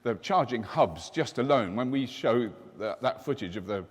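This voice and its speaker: A middle-aged man hosts a live panel with enthusiastic, joking energy, often breaking off and restarting his sentences. His voice sits at an ordinary pitch for a man. Long reverb from the large hall trails behind him. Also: lively intonation, normal speed, husky. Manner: enthusiastic, jokey, with self-interrupting restarts. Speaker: host.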